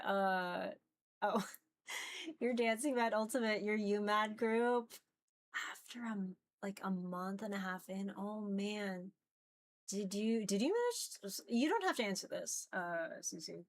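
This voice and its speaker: A woman chatting casually to viewers as a livestreamer, informal and rambling, with a giggly manner. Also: medium pitch. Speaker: visual novel streamer.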